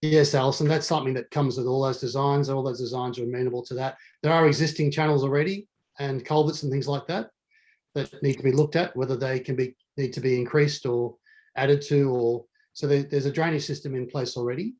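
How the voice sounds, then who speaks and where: A man's voice: mid-range voice, fairly even intonation, brisk, darkish tone. A man answering residents' questions at a council information session, explaining carefully and with some hedging. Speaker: council engineer or project officer. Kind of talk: answering questions at a public meeting.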